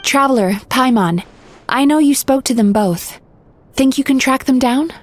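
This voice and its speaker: Woman playing a composed, lightly high-voiced dream therapist. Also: normal speed, slight background noise, lightly acted. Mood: composed, helpful. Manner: composed, explanatory. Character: composed, gentle young female dream therapist. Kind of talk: anime-style video game acting.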